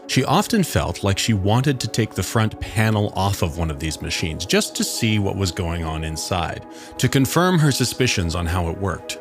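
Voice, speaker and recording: A man's voice: low-pitched, very animated, normal speed, over music. A man reading a scripted story aloud as its narrator.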